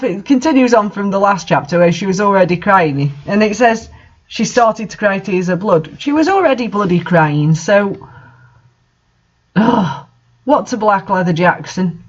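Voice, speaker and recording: A man's voice: high voice, muffled recording. A man reading fan fiction aloud and commenting on it with exasperated, incredulous asides. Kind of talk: mocking dramatic reading with commentary.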